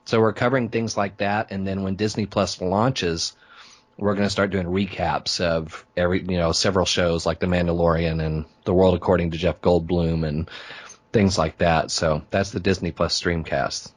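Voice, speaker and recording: A man's voice: deep voice, steady tone, normal speed. A man who hosts podcasts, chatting informally and telling anecdotes in a casual interview. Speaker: podcast host being interviewed.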